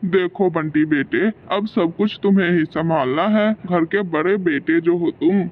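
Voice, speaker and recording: A man's voice: a little high, measured pace, phone-quality sound, natural voice. A man voicing an older, practical, status-minded father bird in a Hindi cartoon story, matter-of-fact and fatherly. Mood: businesslike.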